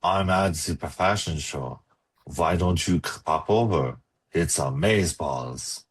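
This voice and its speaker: A man's voice: low voice, slow pace, husky, full character voice. An actor playing a cheerfully oblivious, doting father and mayor in a comedy script read. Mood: breezy, doting. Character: doting mayor daddy, cheerfully oblivious middle-aged man.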